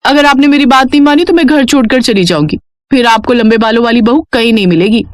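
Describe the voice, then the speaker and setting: A woman's voice: fairly high pitch, fast talker, no room sound, studio quality, voice pushed up, natural voice. A woman voicing a vain, bossy young bride in a moral storybook tale, haughty as she orders her elders around. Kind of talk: Hindi moral storybook character voice.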